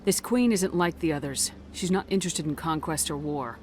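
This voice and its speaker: An actress playing a composed, diplomatic military commander in a space game, speaking in a measured, assured manner. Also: female voice, low voice, a little mellow, a little hiss or hum, close to an everyday voice. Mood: calm.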